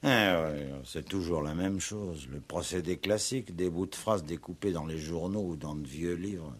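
Stern worked-up police chief, lowish husky voice, bossy, old sixties french radio drama. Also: male voice, normal speed. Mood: scrutinizing, scornful. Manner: emphatic, indignant, authoritative. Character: indignant, determined male village police commissioner. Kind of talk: 1960s French radio play acting. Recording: old 1960s radio play recording.

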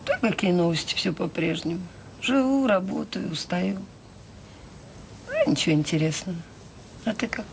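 An actress playing a weary middle-aged children's home worker in a radio drama, plain-spoken, wry and self-deprecating. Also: female voice, lowish voice, sing-song, moderate pace, gravelly, pauses often. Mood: tired, flat. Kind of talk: radio drama acting, intimate conversation. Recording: hissy old audio play recording.

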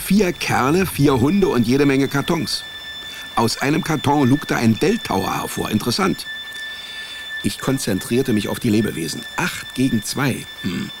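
An actor playing a wry, hapless male private detective, deadpan in his narration and stammering when cornered, in a radio drama. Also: medium pitch, noisy background. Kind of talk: radio drama, first-person hardboiled comic narration.

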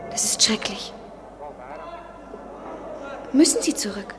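A woman's voice: mid-range voice, very animated, takes it slowly. A woman playing an eager, dreamy student in her twenties in a poetic, theatrical German radio play style. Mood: eager, wistful. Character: Jennifer, eager, dreamy 23-year-old American student in love.

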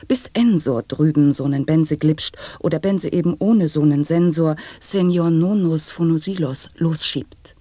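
A woman reading experimental sound poetry in a radio play, rolling through the wordplay in a steady rhythm. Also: low-pitched, a little slow, hardly any pauses, no room sound. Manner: rhythmic tongue-twister delivery.

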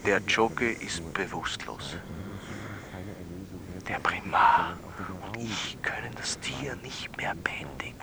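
Animated, eccentric Austrian caller. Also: male voice, sits low, takes it slowly, vocal fry, long pauses, heavy background noise, full character voice. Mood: dramatic, hushed. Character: eccentric caller earnestly recounting an absurd dream. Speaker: radio phone-in caller. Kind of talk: radio drama storytelling.

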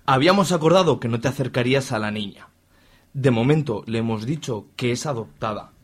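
A man with a Spanish accent from Spain playing a stern, angry middle-aged father in an amateur radio soap, with a husky voice and a threatening manner. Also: medium pitch, brisk, natural voice.

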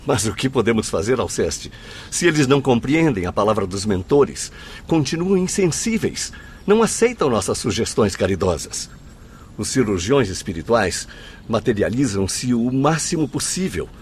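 A Brazilian actor playing an earnest, dutiful spirit aide in a radio drama, respectful, formal and emphatic, with a Brazilian accent. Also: male voice, medium pitch, sing-song, normal speed, a little hiss or hum, natural voice. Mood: concerned, pleading. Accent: Brazilian Portuguese.

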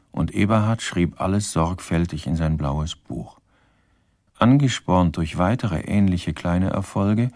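Dry ironic crime story narrator, low husky voice, formal, very close dry studio sound. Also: male voice, darkish tone, no echo. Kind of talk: scripted radio crime drama narration.

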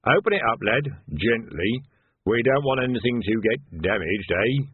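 A man's voice: medium pitch, animated, moderate pace, breathy edge, no room sound, narrow, tinny audio. A man playing a smug, sly police sergeant in an audiobook, insinuating and falsely earnest. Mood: gloating. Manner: insinuating, mock-innocent. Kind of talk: audiobook character acting.

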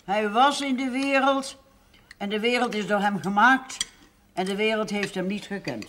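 Very high-pitched solemn reciter. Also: male voice, bright, forceful tone. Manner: slow, solemn, raised-voice recitation. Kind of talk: television period drama acting. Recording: noisy old television soundtrack.

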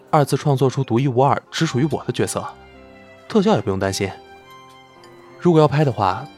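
A man acts as a young male film star in an audio drama, devoted to an older colleague. He speaks in an eager, coaxing way at a middle pitch. Music plays behind him. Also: darkish tone, natural voice. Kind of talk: Chinese audio drama acting.

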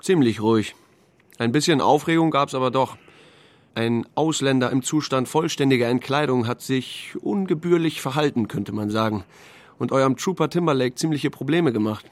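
Man acting laconic sheriff, understated, mid-pitched, steady, radio drama lead and narrator. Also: normal speed, natural voice. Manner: dry, understated. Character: laconic, dry, steady male small-town sheriff.

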